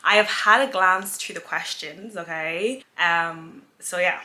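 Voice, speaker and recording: A woman's voice: on the low side, a little slow, sharp tone. A woman in her twenties from Nigeria, chatting casually and giggling as she answers viewer questions in a sit-down vlog. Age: 20s. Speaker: influencer, vlogger.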